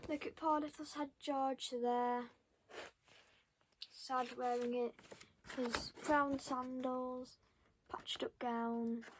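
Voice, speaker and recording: A young child reading a storybook aloud, stopping for halting, chatty asides to the listeners. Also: high-pitched, little pitch movement, slight background noise, low-quality recording. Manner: halting, commenting on pictures to listeners. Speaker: storybook reader.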